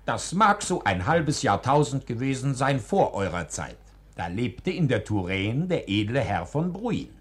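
A man's voice: medium pitch, big pitch swings, measured pace. A man acting a boisterous Renaissance storyteller in a 1940s German radio play, declamatory and mock-solemn. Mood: relishing narration. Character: boisterous Renaissance-era storyteller, teasing ringleader of a troupe.

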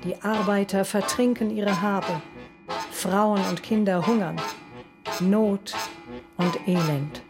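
A woman reading scripted narration in a terse, measured way. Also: medium pitch, fairly even intonation, natural voice. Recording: clear music under the voice. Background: music.

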